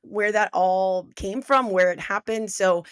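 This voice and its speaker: A woman's voice: mid-range voice, measured pace, fairly bright tone, clean tone, no room sound. A woman hosting a podcast, giving an enthusiastic introduction and asking upbeat interview questions. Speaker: podcast host.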